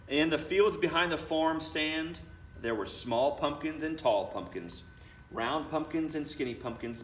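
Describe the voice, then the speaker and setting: A man's voice: mid-range voice, a bit bright. A man, a school principal, reading a children's picture book aloud expressively, with chatty asides to the children. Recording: roomy, distant mic, faint noise.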